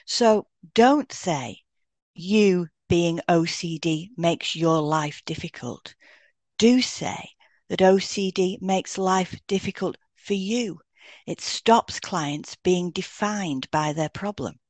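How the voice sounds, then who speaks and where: A woman's voice: lowish voice, slow pace, a little mellow, no echo. Woman explaining in a dry, close recording. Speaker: podcast co-host, hypnotherapist. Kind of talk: scripted educational podcast read aloud in turns.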